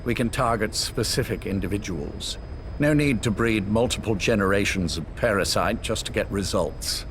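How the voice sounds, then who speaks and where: A man playing a cold, visionary older spymaster in a dramatic video game, speaking in a measured, formal, lecturing way. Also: fairly low pitch, fairly bright tone, a little hiss or hum, lightly acted. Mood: dismissive, lecturing. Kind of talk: scripted dramatic game dialogue.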